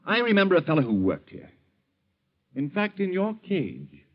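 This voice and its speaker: An actor playing a mild but shrewd bank teller who probes in a folksy, leading way, in 1940s radio style. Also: male voice, sits high, huge pitch swings, normal speed. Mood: storytelling. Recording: dull, lo-fi 1940s radio recording.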